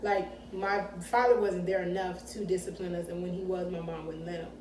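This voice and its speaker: Reflective woman co-host, chatty fillers; distant mic, clear room noise. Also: lowish voice, fairly even intonation, a little fast, darkish tone, roomy sound. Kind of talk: candid casual chat.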